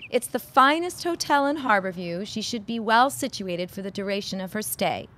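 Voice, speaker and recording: A woman's voice: mid-range voice, animated, normal speed, fairly bright tone, slight background noise, natural voice. A woman playing a poised, guarded bride-to-be in a period audio drama, speaking formally with dry wit. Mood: wry.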